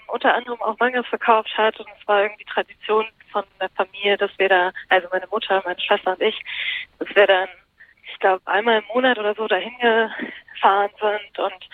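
A woman's voice: mid-range voice, steady tone, a little fast, no echo. Woman on a tinny phone line. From Japan, moved to Germany at seven. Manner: thoughtful, many fillers and restarts. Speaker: interview guest, columnist. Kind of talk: casual interview over the phone.

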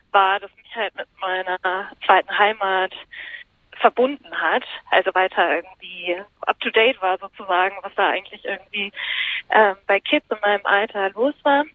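A woman's voice: mid-range voice, no room sound. A woman who grew up in Japan and moved to Germany as a child, answering interview questions thoughtfully with many fillers. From Japan, moved to Germany at seven. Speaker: interview guest, columnist. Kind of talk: casual interview over the phone. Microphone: phone. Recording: dry tinny phone line.

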